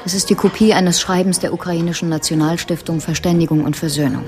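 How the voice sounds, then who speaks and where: A woman's voice: on the low side, steady tone, fast, darkish tone, soft background music, lightly acted. An actress playing a resolute, sardonic woman in a radio crime drama, speaking in a matter-of-fact, pointed way. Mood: businesslike. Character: sardonic, resolute woman, lawyer's former lover.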